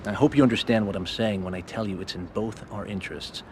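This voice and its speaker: An actor playing a discreet, insinuating American government operative in a video game cutscene, guarded and official. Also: male voice, on the low side, fast, a little hiss or hum, close to an everyday voice. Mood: conspiratorial.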